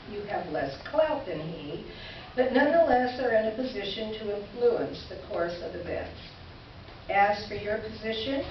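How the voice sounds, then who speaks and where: A woman playing a wry, articulate columnist who reads her formal letters aloud in reader's theater with measured, pointed irony. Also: on the high side. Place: indoor live stage presentation. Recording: echoey room, distant mic, muffled, noisy.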